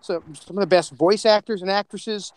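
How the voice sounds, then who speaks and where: A man's voice: high-pitched, fairly even intonation, moderate pace, dry recording. A man chatting casually on a podcast as its co-creator, telling stories in a rambling way.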